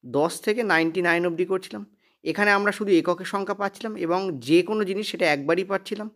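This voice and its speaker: A man's voice: sits high, fast, fairly bright tone. A man teaching an exam-prep maths lesson step by step, repeating points emphatically and often stopping to ask check questions.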